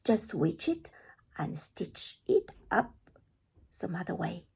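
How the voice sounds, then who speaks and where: A woman's voice: fairly low pitch, very animated, slow pace, vocal fry, a little mellow, slightly rough, heavily acted. A woman voicing a bold, bookish young girl in a children's storybook, earnest and questioning. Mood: earnest, persuasive.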